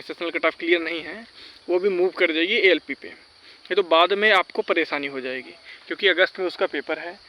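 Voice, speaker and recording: A man's voice: sits high, muffled recording, slight background noise. A man giving informal, insistent advice to students, cautioning and repeating his points. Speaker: exam-advice video creator. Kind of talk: informal advisory talk to students.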